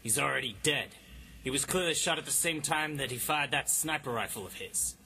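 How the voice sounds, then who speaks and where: A man playing a self-important, deadpan hard-boiled detective in 1950s radio drama style. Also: mid-range voice, fairly quick, creaky, slight background noise. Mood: smug, deadpan. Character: cocky, melodramatic male hard-boiled detective, absurdly sure of himself. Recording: music behind the voice.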